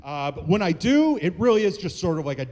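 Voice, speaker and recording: A man from Mississippi with a Mississippi Southern accent, doing a halting stand-up roast full of uhs and repeated lines. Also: high voice, big pitch swings, darkish tone. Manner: halting, lots of uh, repeats phrases. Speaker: stand-up comedian. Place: comedy club stage. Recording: long echoing hall reverb.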